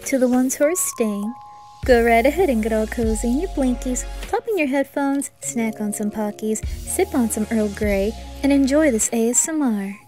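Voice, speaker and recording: A woman's voice: a little high, lively intonation, moderate pace, warm tone, soft background music. A woman, an ASMR creator, giving a friendly, upbeat introduction to her video.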